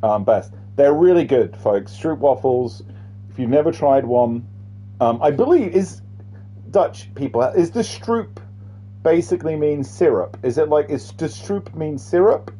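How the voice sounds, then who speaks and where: A man's voice: a little high, expressive, unhurried, dark tone. Chatty man streaming a game. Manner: rambling, chatty, swears casually. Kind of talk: casual live-stream chat over gameplay.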